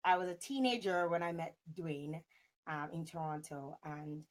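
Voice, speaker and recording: A woman's voice: deep voice, moderate pace. A woman in her thirties, a talk show host chatting casually and expressively on a video call. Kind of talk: casual chat interview. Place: indoor room on a video call.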